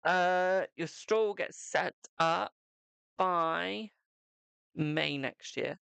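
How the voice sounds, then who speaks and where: A man's voice: high-pitched, drawn-out words, creaky, sharp tone, smooth, dry recording. A man giving a live-stream psychic tarot reading, chatting to viewers and calling them "my darling".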